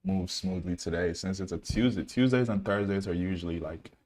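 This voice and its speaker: A young man from Ghana with a deep, low voice talks through a live trading stream in a relaxed, casual way. He fills pauses with "like" and "um" and answers viewers' chat questions as they come in. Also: a little hiss or hum. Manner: relaxed, laid-back, many fillers, reads out chat questions. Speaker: day trader streaming live.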